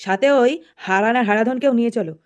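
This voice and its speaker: A man's voice: very high voice, fast, noisy background. A man voicing a hot-tempered, scolding, foul-mouthed village father in a comic cartoon. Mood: blustering. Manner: scolding, blustering. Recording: music clearly behind the voice.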